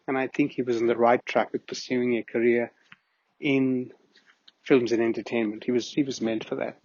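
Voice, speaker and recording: Middle-aged man, husky and earnest, recalling fondly; dry, dull-sounding recording. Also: medium pitch, no echo. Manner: earnest, reminiscing, with frequent restarts. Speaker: family friend giving a memorial tribute. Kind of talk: personal spoken tribute. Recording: dull, muffled audio.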